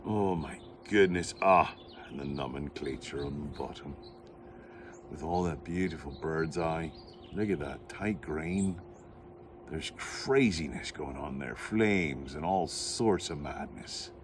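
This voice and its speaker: A man's voice: extremely low pitch, slow, a little hiss or hum. A man hosting a playful unboxing vlog, talking like a theatrical showman with mock grand announcements. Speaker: host, pipe unboxing.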